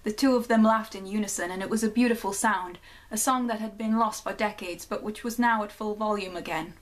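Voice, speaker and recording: A woman's voice: mid-range voice, fairly quick. A young woman with a Geordie accent chatting casually on her vlog and then reading her own short story aloud, laughing at herself now and then. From North East England. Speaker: vlogger.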